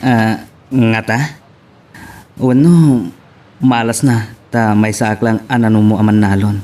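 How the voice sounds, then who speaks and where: A man's voice: on the low side, steady tone, slow pace, a little mellow. A man acting a good-humoured young farmer in love, easygoing, in an Ilocano audio drama. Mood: easygoing, resigned. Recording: noisy background.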